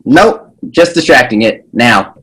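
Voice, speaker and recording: A man playing a cocky teenage boy, slow and animated. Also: medium pitch, slightly rough, exaggerated voice. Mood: smug, teasing. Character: Junior, cocky mischievous smirking teenage boy. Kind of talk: table read of a pilot script.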